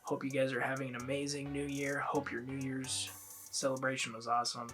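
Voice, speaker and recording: A man's voice: medium pitch, level tone, brisk, fairly bright tone, faint music behind. A man in his twenties, congested from a head cold, chatting casually to camera in a rambling way as a reaction video host.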